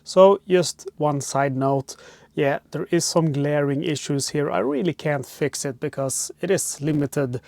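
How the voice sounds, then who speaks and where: A man's voice: on the high side, a little slow, gravelly, darkish tone, no echo. A man with a Swedish accent, hosting a casual board game unboxing from inside a cramped RV on a wireless clip-on mic, chatty and rambling with lots of "uh" fillers. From Sweden. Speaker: board game channel host. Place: cramped RV parked by a harbor. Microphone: wireless clip-on microphone.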